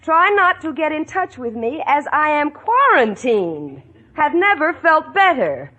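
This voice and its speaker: High lively voice, wry sensible young woman narrator, exasperated, 1940s radio comedy. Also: a little slow, heavily acted. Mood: baffled reading. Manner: dry, wisecracking. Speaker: narrator and roommate character. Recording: noisy old radio broadcast.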